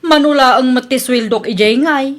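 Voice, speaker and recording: A woman's voice: sits high, fairly quick, close to an everyday voice. A woman acting a bossy, exasperated wife and mother who runs the household money in a radio drama.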